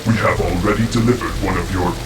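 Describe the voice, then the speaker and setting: An actor playing a scornful alien council leader in a game cutscene, with pompous, theatrical oratory. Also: male voice, sits low, fairly even intonation, fast, background noise, natural voice. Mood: cold scorn. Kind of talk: dramatic game cutscene acting. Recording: roomy, distant, music and rain behind.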